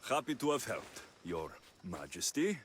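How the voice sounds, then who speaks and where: An actor playing a confident, wry young Greek mercenary hero in a video game, speaking in a direct, assured manner. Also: male voice, lowish voice, sing-song, unhurried. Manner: direct, assured, dryly teasing. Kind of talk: video game cutscene acting.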